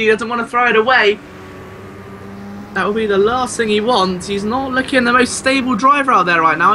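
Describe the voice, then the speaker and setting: A man with a noticeably high voice commentates live on motor racing. His delivery is excitable and full of exclamation as he follows the action play by play. Car engines are clearly heard behind him. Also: noisy background. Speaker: sim racing race commentator.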